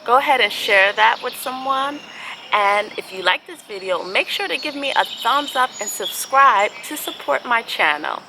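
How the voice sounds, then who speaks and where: A woman hosting a children's storybook read-aloud, speaking in an expressive, deliberate way with drawn-out phrasing. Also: a little high, a little hiss or hum, low-quality recording. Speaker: host reading picture books aloud for children.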